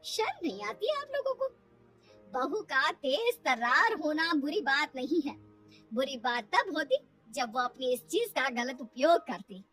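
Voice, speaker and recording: High, slightly distant, outspoken cartoon woman female actor. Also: sing-song, unhurried, a bit bright, soft background music, full character voice. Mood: indignant. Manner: emphatic, opinionated. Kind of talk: animated cartoon story acting.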